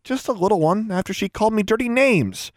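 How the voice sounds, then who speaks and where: A man's voice: sits high, lively intonation, fairly quick, dry recording, studio quality, pitched above the performer's own voice. A man voicing a prickly, defensive schoolgirl in an audiobook story, snappish and accusing.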